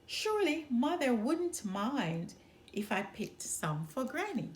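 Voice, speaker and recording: A woman's voice: on the high side, big pitch swings, slow. A woman voicing a sweet, cheerful, easily distracted little girl in a storybook reading. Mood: self-persuading.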